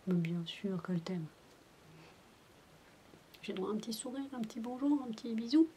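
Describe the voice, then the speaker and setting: A woman in a soft-spoken, whispered ASMR roleplay, coaxing like a babysitter talking to a sulking child. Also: on the high side, lively intonation, normal speed, slight background noise, poor audio.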